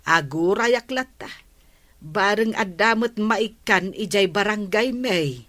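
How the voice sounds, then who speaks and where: An earnest captain's wife. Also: female voice, mid-range voice, animated, slow pace, fairly bright tone, no echo. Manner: polite, earnest pleading. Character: earnest village captain's wife, adult woman. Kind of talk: radio comedy drama acting.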